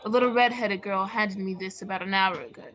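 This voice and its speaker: A woman's voice: medium pitch, fairly even intonation, a little fast, a bit bright, slight background noise, natural voice. A woman playing a fretful, theatrical male sidekick in an old-time radio drama, fussy and exclamatory. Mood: anxious, indignant. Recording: dull lo-fi audio.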